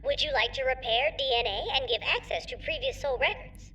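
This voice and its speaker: A woman's voice: high voice, a little fast, pushed and bright. A woman voicing an ancient computer that makes formal, clipped announcements in a science-fantasy audio drama. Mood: procedural. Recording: distant sound, faint background noise.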